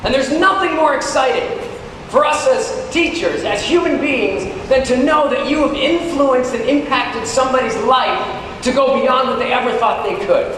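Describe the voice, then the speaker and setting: A man giving a motivational keynote to teachers, emphatic and dramatic with drawn-out key words, conversational with some wry humor. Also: very high-pitched, a bit bright. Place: large hall at a teachers' conference. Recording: very echoey hall, distant, noisy.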